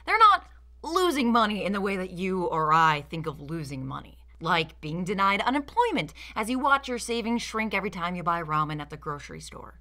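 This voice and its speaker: Female video essayist, young, sarcastic and theatrical, very lively pitch, forceful bright delivery. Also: brisk. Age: young adult. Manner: sarcastic, theatrical, mock-indignant. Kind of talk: scripted critical commentary.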